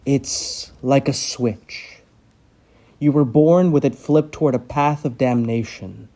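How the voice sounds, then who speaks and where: A man acting in a horror audio play as a controlling, condescending doctor with an imperious, deliberate delivery. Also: a little high, warm tone, natural voice. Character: menacing, controlling male conversion doctor, cold and condescending.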